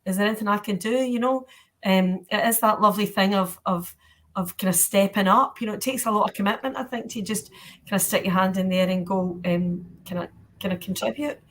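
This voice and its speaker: A woman's voice: mid-range voice, a little fast, a bit bright. A Scottish woman hosting a panel Q&A in a chatty, colloquial, encouraging way. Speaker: panel host.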